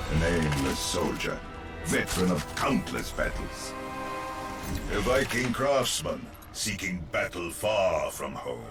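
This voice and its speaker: A man reading a spooky storybook narration for a game, slow, dramatic and gravelly. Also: low voice. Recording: game audio with music underneath.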